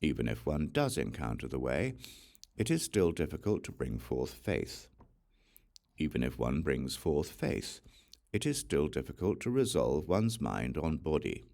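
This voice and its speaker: A man narrating a Buddhist scripture as an audiobook, reciting solemnly in short, measured phrases. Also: very deep voice, big pitch swings, gravelly, a little mellow.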